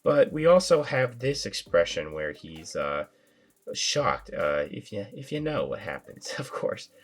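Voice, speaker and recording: A man hosting a casual toy review, his voice raspy from a sinus infection, talking in a relaxed, rambling way with filler words. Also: fairly low pitch, a little slow. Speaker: toy reviewer, channel host.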